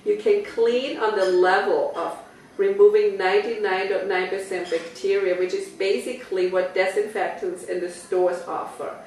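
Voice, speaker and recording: A woman's voice: medium pitch, steady tone, moderate pace, darkish tone, heavy reverb, distant mic, a little hiss or hum, rough recording. A woman from Austria with an Austrian German accent, giving a chatty product demonstration in a living room and asking her listeners questions. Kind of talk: home party product talk. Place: living room of a private house.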